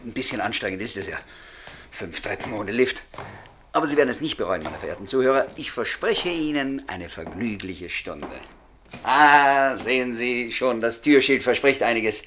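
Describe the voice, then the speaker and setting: A man's voice: medium pitch, lively intonation, normal speed, fairly bright tone, slight background noise, lightly acted. An actor playing a genial writer in his late twenties, narrating a radio drama and courteously confiding in his listeners. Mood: cheerful, inviting. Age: 20s. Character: chatty, genial 29-year-old writer showing visitors around.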